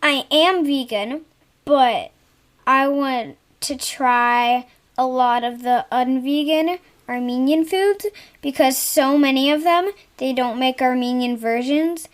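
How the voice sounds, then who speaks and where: Hesitant high-pitched slow-talking daughter. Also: female voice. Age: young, child. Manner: hesitant, searching for words. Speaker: guest, host's daughter. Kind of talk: candid conversation with her mother.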